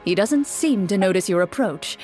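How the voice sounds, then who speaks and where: A woman narrating a game scene in a slow, hushed, descriptive way. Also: mid-range voice, expressive, over music. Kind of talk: scripted story narration.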